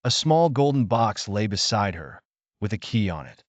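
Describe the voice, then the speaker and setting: A man's voice: on the low side, big pitch swings, moderate pace, no room sound, highs cut off. A man narrating a scripted bedtime story for children.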